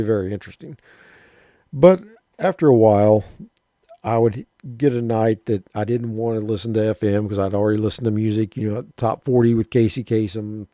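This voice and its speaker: A man in his fifties with a mellow, relaxed voice, telling an old story in a rambling, folksy way full of restarts. The sound is close and dry, with no room around it. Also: mid-range voice, no echo. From Southern Illinois, USA. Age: 50s. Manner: rambling, folksy, with mild cursing and frequent restarts. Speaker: radio hobbyist vlogger. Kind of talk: casual spoken reminiscence.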